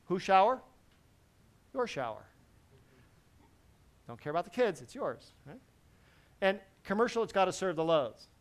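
Middle-aged guy lecturing in a big conference hall, highish voice, keeps asking the crowd "right?". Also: a little slow, pauses often. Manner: lively, quizzes the audience with frequent "right?". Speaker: presenter at a technical symposium. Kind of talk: technical lecture to a seated audience.

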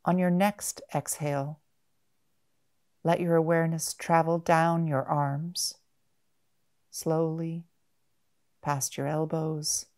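A woman guiding a meditation in a gentle, measured manner, with long pauses between short phrases. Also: sits low, level tone. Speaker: mindfulness meditation guide. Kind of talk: guided body-scan meditation.

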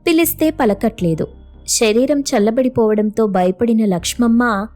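A female storyteller narrates a scripted moral tale. Her voice is mellow and a touch high, with light music playing underneath. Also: unhurried.

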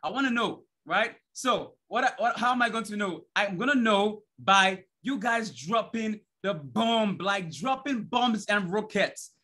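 A young man with a high, bright, forceful voice hosts an online event from his bedroom over a video call. He is an energetic hype man who keeps tossing out "right?" and at times breaks into a shout. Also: measured pace, few pauses. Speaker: host. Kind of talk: hyped-up event hosting. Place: bedroom at home.

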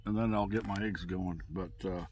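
A man's voice: deep voice, fairly even intonation, normal speed, a little mellow, a little hiss or hum. Laid-back middle-aged man outdoors. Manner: rambling, laid-back, with many fillers and restarts. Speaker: camping vlogger. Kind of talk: casual outdoor cooking vlog. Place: campsite outdoors on open grass. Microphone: camera on a table nearby.

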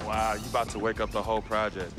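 Actor as low-voiced, laid-back young New athlete. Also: male voice, little pitch movement, fast, loud music behind the voice, close to an everyday voice. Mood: relaxed, earnest. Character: calm, hopeful young basketball star, devoted son. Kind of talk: naturalistic video game cutscene acting.

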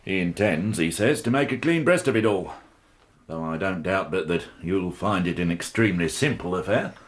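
Brisk, low-voiced police inspector. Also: male voice, expressive. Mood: brisk, dry. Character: brisk, dry, self-assured male police inspector. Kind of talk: radio drama acting.

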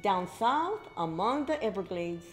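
A woman's voice: mid-range voice, big pitch swings, unhurried, a little hiss or hum. A middle-aged woman reading a story aloud to young children, expressively, with exclaimed asides to her listeners. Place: indoor library room with bookshelves.

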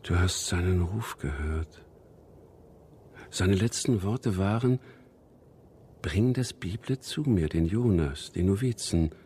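An actor playing a solemn, frail old man known as the General, halting and sometimes half-whispering, in a radio drama. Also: sits low, lively intonation, warm tone, slight background noise. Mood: solemn, grieving.

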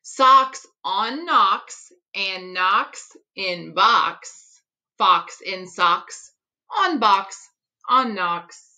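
A middle-aged woman reading a children's picture book aloud expressively, articulating tongue twisters with deliberate care. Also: mid-range voice, animated, pushed and bright. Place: living room at home.

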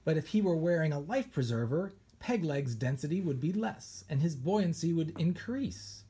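A man, a children's author, reads a science picture book aloud with animated storytelling and pirate flair. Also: a little high, moderate pace, slightly rough. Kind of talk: expressive read-aloud.

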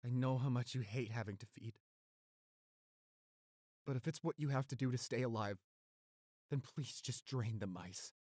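A man playing a gentle, devoted, weakened boyfriend in an intimate roleplay audio drama, speaking softly, haltingly and reassuringly. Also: medium pitch, no room sound, lightly acted. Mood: tender, pleading. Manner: tender, halting, reassuring, close and soft.

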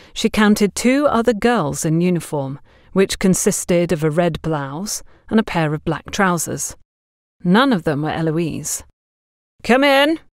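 A woman's voice: low voice, darkish tone, no echo, studio quality. A woman working as an audiobook narrator, reading scripted story narration.

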